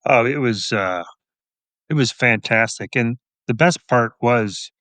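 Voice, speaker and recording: A man's voice: medium pitch, slow pace, fairly bright tone, no echo. A middle-aged man giving casual answers as an interview guest, modest and understated with dry humour. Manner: modest, understated, dryly humorous. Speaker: podcast guest, police officer and author.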